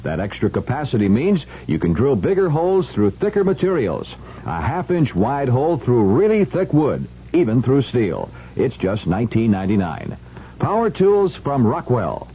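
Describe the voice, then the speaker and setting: A man's voice: medium pitch, huge pitch swings, normal speed, husky. A man reading a scripted 1970s radio advertisement in a folksy, direct sales style. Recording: muffled old radio with faint noise.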